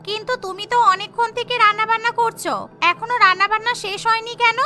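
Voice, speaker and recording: A woman voicing a bossy, grumbling middle-aged mother-in-law in a cartoon, nagging with sharp sarcasm. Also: very high-pitched, fairly even intonation, fast, voice pushed up. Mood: nagging, annoyed. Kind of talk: Bengali cartoon voice acting. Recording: music behind the voice.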